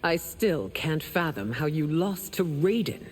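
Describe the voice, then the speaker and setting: A woman's voice: sits low, lively intonation, measured pace, a little mellow, big-room sound, faint music behind. An actress playing a proud, stern empress and mother in a video game, speaking formally and imperiously. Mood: stern, measured. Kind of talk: dramatic video game dialogue.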